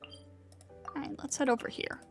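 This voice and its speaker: A woman doing casual gameplay commentary as a livestreamer, reacting aloud and talking to herself. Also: a little slow. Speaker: game livestreamer. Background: video game music.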